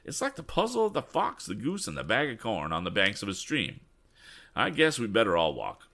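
A man voicing a fussy, excitable, blustering gentleman from a storybook, exclamatory and flustered. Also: lowish voice, a little fast, vocal fry, voice pushed up, full character voice.

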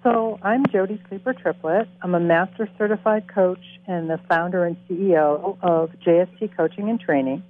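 A woman's voice: fairly low pitch, normal speed, few pauses. A woman in her sixties from Boston introducing herself on a panel, earnest and direct, heard over a phone line. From Boston, USA. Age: 60s. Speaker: coach educator. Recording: tinny phone-line audio.

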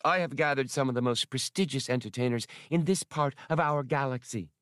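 A man's voice: mid-range voice, fairly quick, fairly bright tone, no echo, studio quality, voice pushed up, natural voice. A man voicing a proud, effusive female alien mistress of ceremonies with formal, theatrical speech in an audiobook. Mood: effusive, grand.

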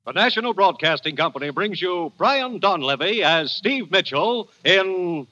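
A man's voice: high voice, lively intonation, unhurried. An American man announcing an old-time radio adventure in a theatrical, portentous manner. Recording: dull old radio recording. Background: music.

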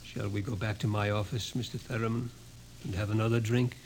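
An actor in a classic radio drama playing a grim, authoritative doctor who lectures with ominous emphasis. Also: male voice, on the low side, little pitch movement, brisk, a little mellow, natural voice. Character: grim, authoritative male doctor of a locked ward. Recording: old radio recording with faint noise.

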